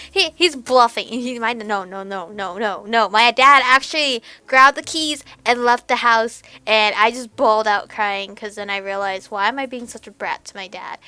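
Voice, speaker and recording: Bright, high-voiced young woman, chatty gamer. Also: clear, resonant tone, no echo. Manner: expressive, reactive, chatty. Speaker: gaming streamer. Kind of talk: casual let's play commentary with read-aloud game text.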